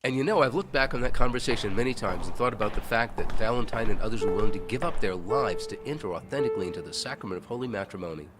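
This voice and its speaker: A man's voice: on the low side, animated, fast talker, few pauses, loud music behind the voice, natural voice. A man playing a fond, romantic older husband and father, reminiscing reflectively in an audio drama. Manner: reflective, affectionate.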